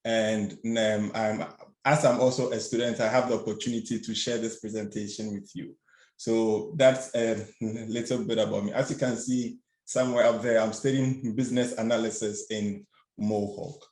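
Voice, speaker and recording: A young man from Ghana presenting a student finance webinar on a video call, nervous and halting, stammering and restarting his sentences. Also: lowish voice, steady tone, a little mellow. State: a bit nervous. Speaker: student workshop facilitator. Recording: roomy echo.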